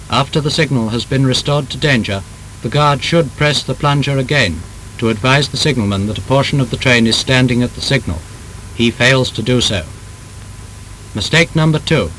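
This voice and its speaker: A British man narrates a vintage training film in a formal, measured voice. His delivery is emphatic and scripted, in the manner of 1930s instruction. The old soundtrack carries a faint rumbling noise, and his pitch sits in the middle of the male range. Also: a bit bright, low-quality recording.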